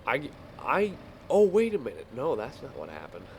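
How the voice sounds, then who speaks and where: A man casually telling a rambling story while playing a game, halting and repeating words as he goes. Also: medium pitch, wildly swooping pitch, gravelly, darkish tone, slight background noise. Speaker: video game player telling stories.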